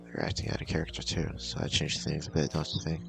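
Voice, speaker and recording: A man's voice: very deep voice, completely flat delivery, fast talker, creaky, music in the background, heavily acted. A man voicing an intense, controlling young woman from a horror visual novel. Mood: cold, taunting.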